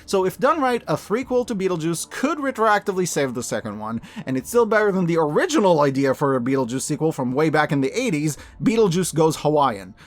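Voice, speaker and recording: A man in his thirties giving an opinionated scripted review commentary, sarcastic, animated and emphatic in his delivery. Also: a little high, brisk, hardly any pauses. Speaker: video host reviewing films.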